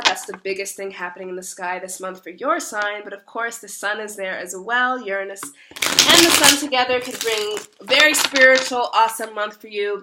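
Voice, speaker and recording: A young woman with a hoarse voice from a cold gives a chatty, rambling tarot reading full of fillers. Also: mid-range voice, very noisy. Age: young adult. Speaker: tarot reader. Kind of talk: casual monthly tarot reading.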